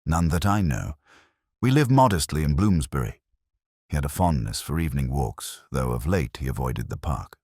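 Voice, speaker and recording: A man's voice: extremely low pitch, lively intonation, normal speed, darkish tone, breathy edge, no echo, clean studio sound, exaggerated voice. A man voicing an anxious, genteel young woman in an audiobook mystery, polite and hesitant in manner. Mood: worried, earnest. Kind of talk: dramatised detective audiobook.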